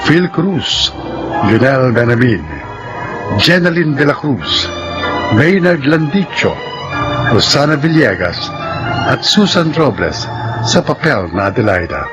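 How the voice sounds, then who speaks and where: A man with a Filipino accent announcing a radio drama in a measured, dramatic scripted narration. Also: medium pitch, very animated. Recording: loud music under the voice.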